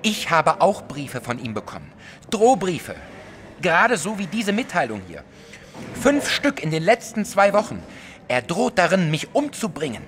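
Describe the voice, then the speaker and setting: A man's voice: a little high, wildly swooping pitch, measured pace, fairly bright tone, slight background noise, exaggerated voice. An actor playing a small, self-righteous, jealous male artist in an audio drama, vehement and defensive in manner. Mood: agitated. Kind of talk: German audio drama acting.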